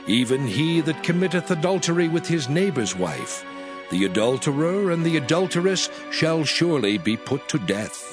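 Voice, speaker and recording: A man playing God as a stern lawgiver, declaiming archaic scripture in a solemn, measured, emphatic way. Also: medium pitch. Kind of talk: dramatized scripture reading in archaic English. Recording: music under the voice. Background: music.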